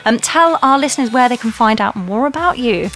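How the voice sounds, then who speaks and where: A woman's voice: a little high, expressive, fairly quick, a bit bright. A woman hosting a podcast casually, with an enthusiastic, encouraging manner. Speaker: podcast host.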